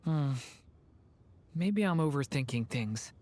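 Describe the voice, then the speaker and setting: A woman voicing a gentle, anxious young dragon boy, soft and hesitant, in an anime game. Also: deep voice, animated, slow, a little hiss or hum. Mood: pensive, uneasy. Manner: hesitant, thoughtful.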